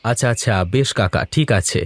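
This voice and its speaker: A man's voice: medium pitch, expressive, quick pace, slight background noise, lightly acted. A man voicing an earnest, obliging elder brother who keeps a village shop in a cartoon. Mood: cheerful, reassuring. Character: dutiful grown elder brother, a village shopkeeper. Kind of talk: Bengali cartoon dub acting.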